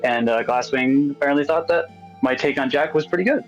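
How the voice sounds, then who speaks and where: A man's voice: on the high side, fairly quick, muffled recording, faint music behind. A man being interviewed as a new voice actor and artist, chatting in an easygoing, slightly hesitant way. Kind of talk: casual chat, recounting how they got involved.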